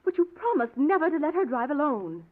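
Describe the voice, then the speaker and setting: A woman acting in an old-time radio drama as a protective, anxious member of a household, with a husky voice and a solicitous, urgent manner. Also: sits high, sing-song, fairly quick. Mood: alarmed. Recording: tinny old radio recording.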